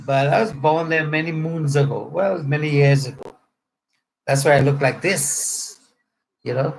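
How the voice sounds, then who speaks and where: A middle-aged man with a Trinidadian accent and a voice pitched a little high. He rambles along casually and jokingly, as if chatting to viewers from his room at home. There is faint noise on the recording. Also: measured pace, gravelly, low-quality recording. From Trinidad and Tobago. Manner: rambling, joking, spells out words to clarify. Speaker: pastor. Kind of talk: casual chat to online viewers.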